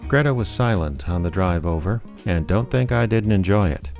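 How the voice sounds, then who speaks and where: A broke small-town gumshoe in an audio drama. His voice sits on the low side, and his delivery is dry, wry and sardonic, grumbling like a noir narrator. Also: normal speed, music in the background. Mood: wry narrating. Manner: deadpan, sardonic.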